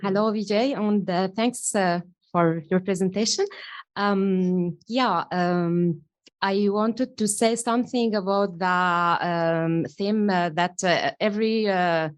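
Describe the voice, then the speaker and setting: A woman's voice: medium pitch, slow pace. A woman from Syria giving a comment to a lecturer from the audience, hesitant and searching for her words.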